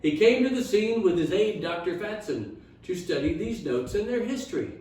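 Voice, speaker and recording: A man reading a rhyming children's story aloud in a theatrical, expressive storytime style. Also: high voice, normal speed. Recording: echoey room, distant mic.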